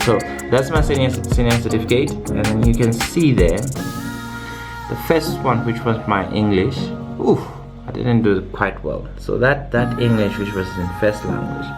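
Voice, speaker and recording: A young man from South Africa chatting casually in a personal vlog, often repeating words as he goes. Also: mid-range voice. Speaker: vlogger. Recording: echoey room with music behind.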